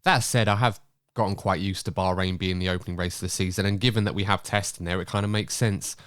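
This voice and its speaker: A man hosting a podcast, chatty and upbeat, a little croaky from a cold. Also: low-pitched, fairly even intonation, fast talker, a bit bright, no echo, clean studio sound. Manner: chatty, upbeat, running the show. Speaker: podcast host. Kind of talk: casual podcast chat.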